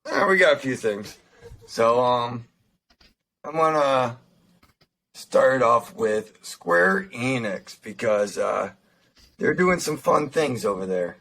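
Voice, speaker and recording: A young man with a slightly raspy voice co-hosts a casual podcast, talking his way through stories with frequent fillers and restarts. His pitch sits in an ordinary middle range. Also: animated, bright, forceful tone. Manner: halting, lots of fillers and restarts. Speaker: podcast co-host. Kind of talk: casual chat, reading news articles.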